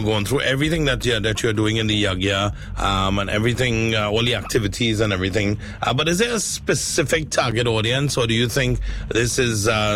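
Low-voiced Trinidadian radio interviewer, a hesitant man. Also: a bit bright. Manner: hesitant, halting, repetitive phrasing. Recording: clearly noisy background ambience.

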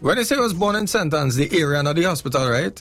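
A man with a Trinidadian accent hosting a radio show, lively and playful, quick to laugh. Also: a little high, faint music behind. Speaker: radio host. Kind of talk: casual radio chat and ad reads.

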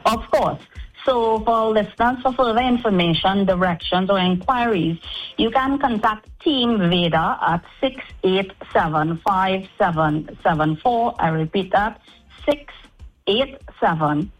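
A Trinidadian woman speaking as a spokesperson in a radio interview, with articulate, formal and measured phrasing. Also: medium pitch, animated, soft background music. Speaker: guest spokesperson for an event. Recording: dull, band-limited radio sound.

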